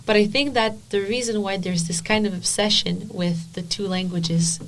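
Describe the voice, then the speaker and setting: A woman's voice: mid-range voice, steady tone, normal speed. Woman, mid-range voice, podcast guest, emphatic, repeats her points. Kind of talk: casual discussion.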